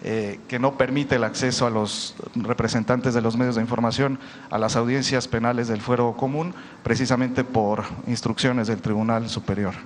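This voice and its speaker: Man, press reporter, halting questions, reverberant hall, faint noise. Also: mid-range voice, steady tone. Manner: long, hesitant questions with fillers.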